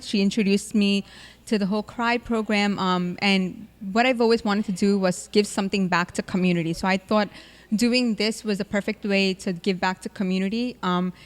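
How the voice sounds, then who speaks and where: A woman volunteer talks about her charity work in a sincere, halting way. She pauses often on fillers as she gathers her thoughts. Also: mid-range voice. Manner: sincere, hesitant with many "um"s. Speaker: volunteer co-host. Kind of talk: answering questions on camera.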